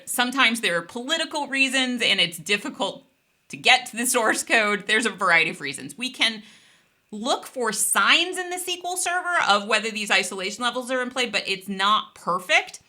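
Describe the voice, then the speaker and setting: A woman instructor explaining a technical software topic in a conversational, informal and emphatic way, as if thinking aloud. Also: mid-range voice.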